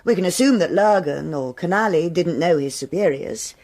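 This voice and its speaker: An actress playing a stern, authoritative police superintendent who speaks in a measured, formal way. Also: female voice, lowish voice, animated, darkish tone. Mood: briefing. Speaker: senior police officer. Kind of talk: radio crime drama acting.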